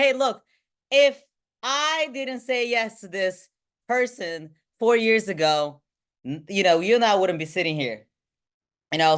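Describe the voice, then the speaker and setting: A woman in her thirties from Bulgaria leads a motivational online training as a sales team trainer, talking excitably and often checking in with short questions. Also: mid-range voice. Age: 30s. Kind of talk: motivational online training talk to a live group.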